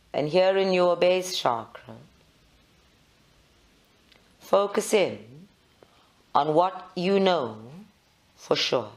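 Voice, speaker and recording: A meditation leader, giving measured guided instructions in short phrases with long pauses. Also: mid-range voice.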